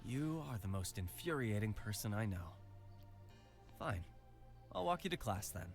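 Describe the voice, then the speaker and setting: A man's voice: fairly low pitch, sing-song, a little slow, faint music behind. A man playing a teasing, protective and stubborn young best friend in a visual novel, with wry, exasperated banter. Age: young adult.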